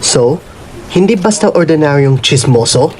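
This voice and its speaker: A man's voice: fairly high pitch, animated, unhurried, a little mellow. Ruthless governor played by a man, swinging delivery. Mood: cold, threatening. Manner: menacing, imperious, code-switching with English. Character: ruthless, arrogant middle-aged governor and father. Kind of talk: radio drama acting.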